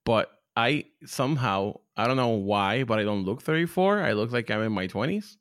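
A man's voice: mid-range voice, animated, normal speed, a bit bright, no room sound, studio quality. A man in his thirties from Puerto Rico, a comedian guest talking casually and self-deprecatingly on a podcast. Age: 30s. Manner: self-deprecating, laid-back, rambling.

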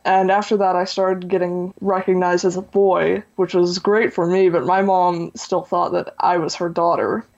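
A teenage boy who still has a high voice, talking earnestly as an interview guest and using lots of "you know" and "like". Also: level tone, normal speed, gravelly, hardly any pauses, dull audio. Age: teens. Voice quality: high voice for a man. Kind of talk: candid interview talk.

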